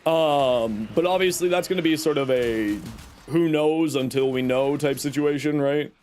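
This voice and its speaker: A man's voice: medium pitch, a little mellow. A young man streaming a game and chatting with viewers, his voice scratchy from a cold, rambling with lots of fillers. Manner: rambling, reads and answers chat with many fillers.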